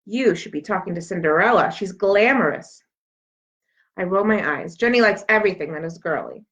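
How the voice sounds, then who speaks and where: A woman reading a children's book aloud as an expressive storyteller. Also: mid-range voice. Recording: dull, lo-fi sound.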